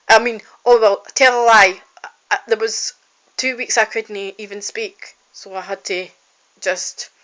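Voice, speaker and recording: A woman's voice: mid-range voice, a bit bright, poor audio. A woman, a singer-songwriter and college student, talks in a heartfelt, rambling way to a phone camera for her video diary, with frequent ums and restarts. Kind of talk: personal video diary, casual talk to camera.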